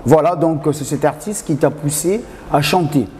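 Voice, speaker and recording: A man's voice: a little high, normal speed, darkish tone, a little hiss or hum. A man hosting a television talk show, interviewing in a conversational way. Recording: reverberant hall sound.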